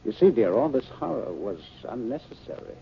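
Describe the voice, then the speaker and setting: An actor playing a learned, concerned scientist husband in a theatrical 1950s radio drama. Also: male voice, mid-range voice, big pitch swings, brisk, vocal fry, heavy background noise. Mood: calm lecturing. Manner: theatrical, explanatory, soothing. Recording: muffled 1950 radio broadcast.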